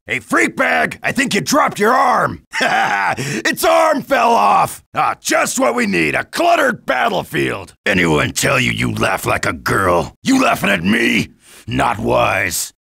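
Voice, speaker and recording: Brief: hot-headed marine for a game, raspy gravel, bright tense edge, swings wildly in pitch. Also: male voice, heavily acted. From United States. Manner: brash, crude, taunting. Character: brash crude American marine, hot-headed, trash-talking. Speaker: soldier character in a video game. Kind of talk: game character voice lines.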